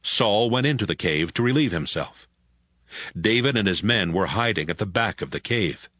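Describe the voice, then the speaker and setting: A man's voice: fairly low pitch, lively intonation, moderate pace, bright, forceful tone, no room sound, clean studio sound. A man narrating a dramatized audio Bible as a scripted story.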